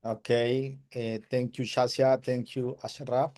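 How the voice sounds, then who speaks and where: A man from Colombia whose first language is Spanish, with a Colombian Spanish accent, giving a halting testimonial in broken English over a video call. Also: medium pitch, little pitch movement, mellow. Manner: hesitant broken English, searching for words. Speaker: webinar attendee giving a testimonial.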